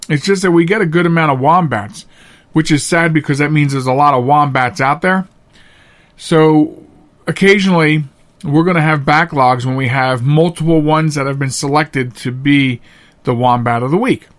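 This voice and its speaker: Male talk host, slightly high register, casual and chatty, folksy showman who digresses. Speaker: podcast host. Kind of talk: casual, chatty monologue to listeners.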